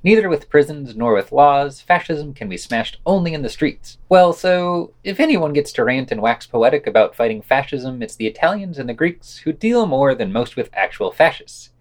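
Male podcast news reader, mid-range pitch, animated melody, scripted delivery with casual commentary. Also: moderate pace, hardly any pauses. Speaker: podcast host reading news.

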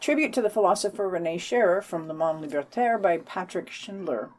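A woman's voice: on the low side, lively intonation, fairly quick. A woman co-hosting a podcast, reading scripted news items and quotes with wry commentary. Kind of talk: scripted narration with read quotes and brief commentary.

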